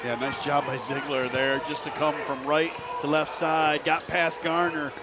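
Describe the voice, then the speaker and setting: A man's voice: a little high, flat delivery, moderate pace, heavy background noise. A man working as color analyst on a live radio basketball broadcast, explaining plays in a conversational way.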